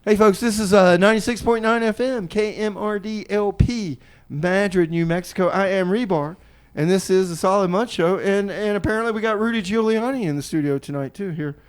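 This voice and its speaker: A man's voice: high voice, fairly quick, few pauses, clear, resonant tone. A man hosting a community radio music show, bantering casually and back-announcing songs in a folksy, rambling way. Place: radio studio.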